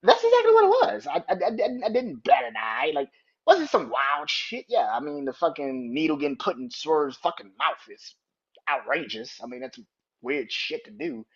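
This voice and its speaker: A man's voice: fairly high pitch, huge pitch swings, normal speed, slightly rough, muffled recording. A young man hosting a podcast, counting down a ranking in casual, profane talk, often laughing and getting worked up. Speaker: podcast host.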